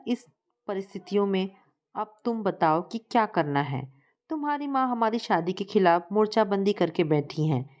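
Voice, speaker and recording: Woman narrator as sensible young male lover in an audio story, earnest and measured. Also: mid-range voice, a little mellow, natural voice. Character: earnest, sensible young man deeply in love.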